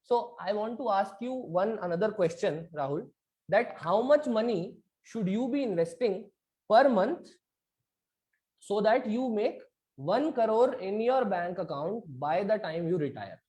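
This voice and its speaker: A man's voice: high voice, expressive, unhurried, dark tone. A man with an Indian accent teaching an interactive online class, often checking that listeners follow. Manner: checks understanding with frequent tag questions. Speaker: instructor. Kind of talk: interactive online lecture switching between two languages. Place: online video session.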